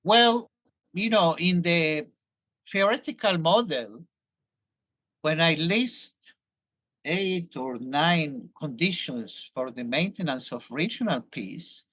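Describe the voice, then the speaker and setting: Brief: older male academic with a Hebrew accent, thoughtful and explanatory, lively intonation. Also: a little high, slow. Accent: Hebrew-accented English. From Argentina. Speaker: professor, guest. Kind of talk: academic interview.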